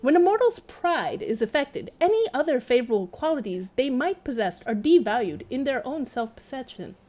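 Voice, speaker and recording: A woman streaming a video game, commenting and reading in-game text aloud in a wry, chatty, expressive way, with game music behind her. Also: high-pitched, normal speed.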